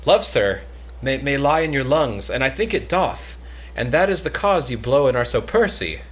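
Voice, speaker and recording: A man's voice: mid-range voice, sing-song, normal speed, a bit bright, husky. A man playing a timid, puzzled young page boy who stammers hesitantly in a comic Elizabethan stage play. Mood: dutiful. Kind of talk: comic Elizabethan stage drama, cold read.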